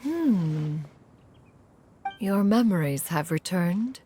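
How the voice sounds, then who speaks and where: A woman's voice: fairly low pitch, expressive, drawn-out words, dark tone, faint music behind. A woman playing an aloof but caring immortal in a video game, with a stately, formal manner. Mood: grave. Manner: stately, formal, archaic phrasing. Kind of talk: dramatic video game acting.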